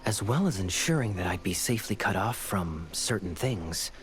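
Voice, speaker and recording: A man playing a suave, teasing young knight in an anime game, with a smooth, playful, theatrical delivery. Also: fairly low pitch.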